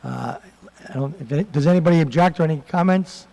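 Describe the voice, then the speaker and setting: A man chairing a city council committee meeting, calling on members and moving through votes in a halting, procedural way. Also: on the high side, creaky, warm tone, in a large hall. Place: council chamber.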